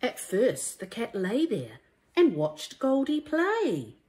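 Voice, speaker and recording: A woman reads a children's storybook aloud like a primary teacher, in a deliberate, emphatic and expressive way. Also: a little high. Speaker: primary school teacher reading a story to young pupils.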